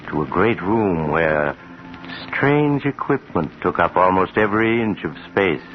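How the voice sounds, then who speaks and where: A man narrating a scripted vintage radio suspense story in a measured storyteller's manner. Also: extremely low pitch. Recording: muffled old radio recording.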